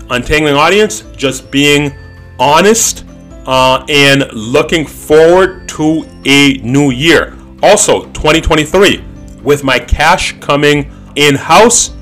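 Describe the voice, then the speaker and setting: A man's voice: medium pitch, a bit bright. A man in his thirties hosting a podcast, talking in a blunt, opinionated casual monologue. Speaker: podcast host.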